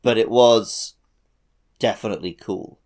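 A man's voice: lowish voice, unhurried, a little mellow. A man co-hosting a casual gaming podcast with wry, joking banter. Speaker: podcast co-host. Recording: faint music behind the voice.

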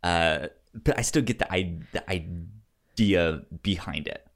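A man co-hosting a casual film discussion, speaking haltingly and often repeating sounds and words as he goes. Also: low-pitched. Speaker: film podcast co-host.

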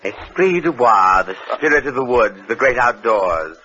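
A ruthless, urbane gang boss in an old 1940s radio play. His speech swings theatrically in pitch, with a bright, sharp edge and affected polish. The sound is dull and dated. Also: very animated, measured pace, slight background noise. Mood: urbane, pleased. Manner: smooth-mannered, affected, theatrical. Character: suave, ruthless male crime boss with refined tastes. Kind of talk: 1940s American radio drama acting.

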